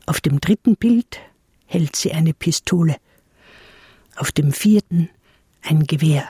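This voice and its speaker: A cool female narrator describes things in a measured radio drama style. The voice is very breathy and husky, dark and mellow. It moves slowly. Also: deep voice, lively intonation, dry recording, clean studio sound, heavily acted.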